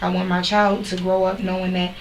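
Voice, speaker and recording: A young woman singer, a mother, talking earnestly in a casual interview with a deep speaking voice. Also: monotone, brisk, recorded from a distance. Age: young adult. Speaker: singer guest. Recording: echoey room, voice off-mic, faint hiss.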